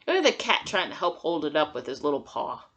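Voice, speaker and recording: A woman's voice: sits low, big pitch swings, quick pace, a bit bright. A woman teacher reading a picture book aloud to young children, adding simple, encouraging comments and questions as she goes. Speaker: kindergarten teacher.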